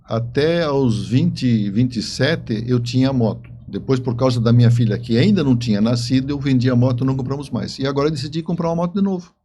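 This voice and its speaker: A man, telling stories in an interview in a halting, emphatic way with repeated words. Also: mid-range voice, warm tone, a little hiss or hum. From southern Brazil. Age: 70s. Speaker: interview guest, writer and engineer. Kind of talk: conversational interview storytelling.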